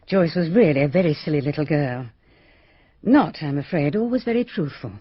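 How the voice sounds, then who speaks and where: A guarded, genteel village lady in a radio mystery, opinionated and pointed. Her husky voice moves expressively up and down, in a dry, slightly muffled recording. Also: deep voice, animated, normal speed, no echo. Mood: troubled, guarded. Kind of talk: radio mystery drama acting. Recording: dull, lo-fi radio drama recording.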